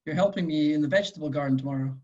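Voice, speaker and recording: A performer reading the part of a friendly, gently teasing man in his late twenties, with a husky voice, at a script table read. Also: medium pitch, very fast, dull audio, close to an everyday voice.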